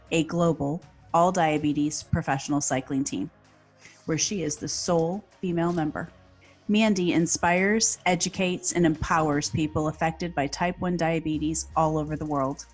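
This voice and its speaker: A woman hosting a podcast, reading an enthusiastic introduction and asking interview questions. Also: low-pitched. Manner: warm, enthusiastic, read-aloud delivery. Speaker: podcast host.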